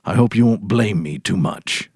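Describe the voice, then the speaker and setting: A man's voice: on the low side, animated, moderate pace, darkish tone, husky, no echo, studio quality. A man voicing a weary, brusque senior policeman husband in a dramatic story reading. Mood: grave, awkward. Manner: terse, brusque.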